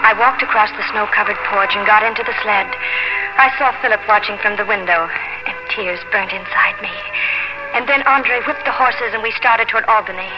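A woman's voice: mid-range voice, normal speed, natural voice. An actress playing a determined young woman of the colonial frontier in a 1950s radio drama. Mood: wistful, resolute. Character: Nancy, determined young woman fleeing through colonial wilderness. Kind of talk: 1950s radio drama acting, first-person narration. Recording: dull vintage radio recording with music.

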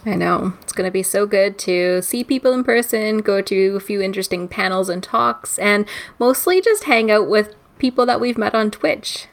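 An easygoing woman co-hosts a casual podcast. Her voice carries noticeable vocal fry, and she reassures and teases her friend in a relaxed way. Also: medium pitch, normal speed, hardly any pauses. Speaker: podcast co-host. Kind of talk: casual chat.

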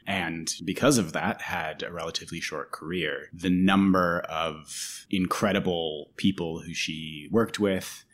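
A man hosting a podcast interview, keen in his remarks and trailing off softly at the end of thoughts. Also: low-pitched, steady tone, a little slow, hardly any pauses. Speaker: podcast host. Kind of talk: casual interview chat.

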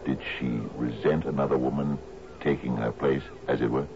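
An actor playing a troubled, grieving sea captain in a 1970s radio drama, speaking formally and haltingly. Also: male voice, extremely low pitch, steady tone. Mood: uneasy, brooding. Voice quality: gravelly. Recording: muffled, noisy old radio broadcast.

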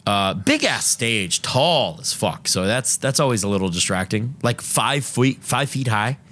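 A man's voice: mid-range voice, animated, unhurried, a bit bright. A man co-hosting a podcast, chatting casually and telling loose, profane stories full of fillers. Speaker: podcast co-host. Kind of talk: casual chat.

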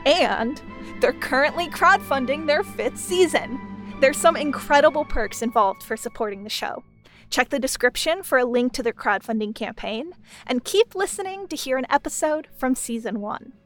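A woman with a high voice reads a scripted podcast promo as a producer, with light music underneath. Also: lively intonation, moderate pace, fairly bright tone. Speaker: audio drama producer giving a promo.

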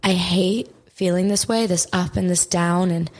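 Hoarse, raspy young woman talking gratitude. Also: on the low side, fairly even intonation, moderate pace. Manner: emphatic, swears often, repeats for stress. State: hoarse from crying. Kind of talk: motivational talk to camera.

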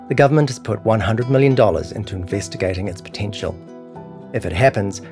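A man reading a scripted longform article as narrator. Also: fairly low pitch. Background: guitar music.

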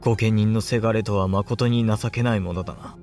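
An actor playing a dutiful but resentful young samurai in a period audio drama, with formal, emotional speech. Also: male voice, fairly low pitch, fairly even intonation, brisk, darkish tone, faint music behind, natural voice. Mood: bitter brooding.

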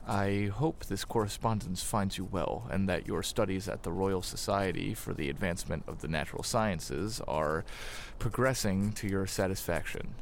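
An actor playing an earnest, flustered colonial New England reverend in a period audio drama, stammering and politely formal. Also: male voice, deep voice, vocal fry, slight background noise.

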